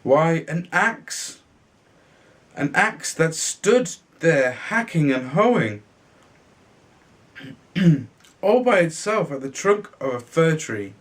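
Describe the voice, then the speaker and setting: A man reads a children's story aloud at a very unhurried pace. His voice sits a little higher than most men's. The delivery is halting, with small stumbles and repeats. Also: sing-song. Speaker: storybook reader. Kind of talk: reading a children's fairy tale aloud.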